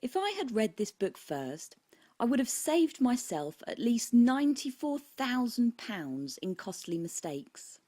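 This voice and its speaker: A woman's voice: on the high side, very animated, unhurried, warm tone, no room sound. A woman with a British accent reading her own book aloud as scripted audiobook narration.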